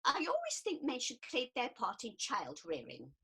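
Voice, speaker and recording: A woman's voice: fairly high pitch, wildly swooping pitch, normal speed, bright, forceful tone, slightly rough, full character voice. A woman playing an earnest, prim and easily offended counsellor in a radio comedy set in ancient Greece. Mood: indignant, offended. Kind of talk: radio play acting.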